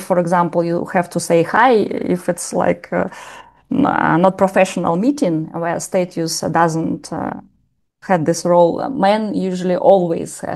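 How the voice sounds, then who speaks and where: Gravelly low woman. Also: a little mellow. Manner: hesitant, many fillers and restarts. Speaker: lecturer. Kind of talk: informal lecture.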